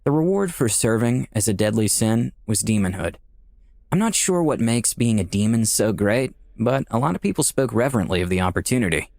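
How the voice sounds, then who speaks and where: A man's voice: on the low side, animated, brisk, creaky, a little mellow, no room sound, clean studio sound. A man playing a skeptical, dryly sardonic dead man in Hell, narrating a visual novel in a wry, conversational way.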